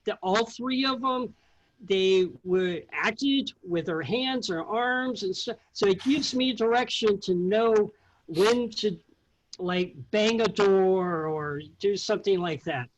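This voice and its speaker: A middle-aged man, a theatre sound effects artist, explaining informally in a halting way, searching for words. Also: high voice, lo-fi sound.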